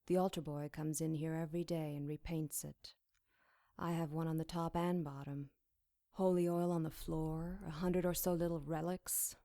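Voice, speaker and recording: Low, creaky, sardonic demon witch. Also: level tone, moderate pace, warm tone, no room sound, clean studio sound. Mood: sly, mocking. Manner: sly, wry, dryly mocking. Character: captive devilish witch demon woman, sardonic and defiant. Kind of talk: audio drama acting.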